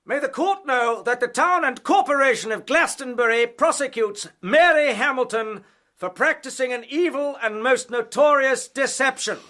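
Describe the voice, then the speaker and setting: Period radio play: indignant male prosecutor, very high, forceful, pompous and declamatory. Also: moderate pace. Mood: scornful, indignant. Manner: declamatory, scornful. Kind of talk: period courtroom radio drama acting.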